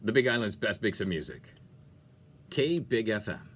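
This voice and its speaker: A man presenting on music radio as a DJ, with an animated, folksy, playful delivery and chatty talk breaks. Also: fairly low pitch, moderate pace. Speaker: radio DJ and show host.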